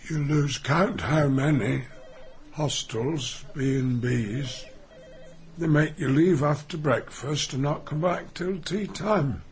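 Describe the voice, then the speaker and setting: Slow-speaking, meek old man. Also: fairly high pitch. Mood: bleak, reflective. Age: older. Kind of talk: radio play acting, with reflective monologue.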